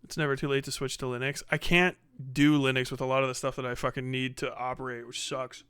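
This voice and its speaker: A man talks casually as a livestream host, answering his chat in slang and swearing freely. His voice is clear and forward-sounding with a bright edge. The sound is close and dry. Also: medium pitch, little pitch movement, quick pace, no echo. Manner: profane, slangy.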